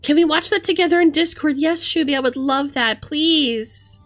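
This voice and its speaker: A woman's voice: sits high, normal speed, faint music behind. A woman hosting a livestream, talking casually and emphatically with plenty of swearing as she rants and chats with commenters. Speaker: livestream host.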